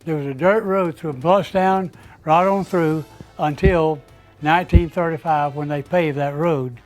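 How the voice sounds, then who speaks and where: A man, slowly recalling his hometown's past, pausing to remember names. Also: fairly high pitch, fairly even intonation, soft background music. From Florida. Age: 90s. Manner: slow, recollecting, with pauses to recall names. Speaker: interviewee. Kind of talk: oral history reminiscence.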